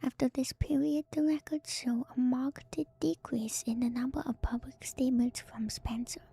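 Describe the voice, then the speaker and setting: A woman streamer whispering softly and breathily in an ASMR style, musing gently and hesitantly through game lore commentary close to the microphone. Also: high voice, fairly even intonation, moderate pace, creaky, slightly rough. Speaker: streamer, VTuber host. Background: music.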